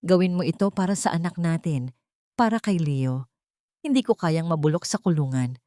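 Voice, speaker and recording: A woman's voice: deep voice, animated, moderate pace, mellow, no echo, clean studio sound. A woman voicing a desperate man who pleads for forgiveness in an audio drama story. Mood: desperate pleading. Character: desperate pleading convicted husband, adult man, begging forgiveness.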